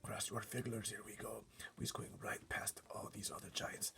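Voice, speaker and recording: A man voicing a gentle, nervous giant who speaks in a hushed way with folksy, muddled grammar. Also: fairly low pitch, flat delivery, normal speed, vocal fry, in a whisper, voice pushed up. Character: gentle, nervous friendly old-fashioned giant.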